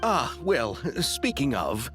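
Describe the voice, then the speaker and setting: A polite film-maker in a game. He is hesitant and earnest, with a lively mid-pitched voice and music clearly behind him. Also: slow pace. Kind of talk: video game voice acting.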